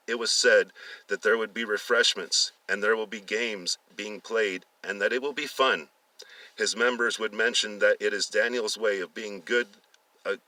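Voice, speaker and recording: A man reading a story aloud, halting at times as he stumbles and repeats words. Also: fairly low pitch, bright, forceful tone, dry recording, distant mic, poor audio. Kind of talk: dramatic story reading with acted dialogue.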